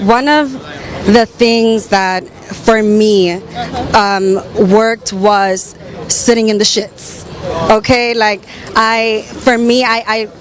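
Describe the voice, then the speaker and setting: A woman from the Caribbean and New York City giving candid personal testimony as an interview guest, halting as she searches for words. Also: a little high, steady tone, a crowd in the background. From born in the Caribbean, raised in New York City. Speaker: interview guest, survivor advocate and theater storyteller.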